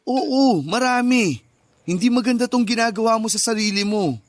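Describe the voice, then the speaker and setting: An actor playing a grieving, patient husband in a Filipino radio drama, earnest and pleading with a Filipino accent. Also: male voice, very high voice, normal speed, a little hiss or hum. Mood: frustrated, pained. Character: grieving, patient husband gently urging his wife to heal.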